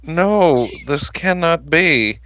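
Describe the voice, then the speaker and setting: A man's voice: on the high side, lively intonation, extremely slow, fairly bright tone, dry recording, exaggerated voice. A man voicing an earnest, heroic male cartoon cat in an amateur fan fiction reading, with stiff, solemn delivery.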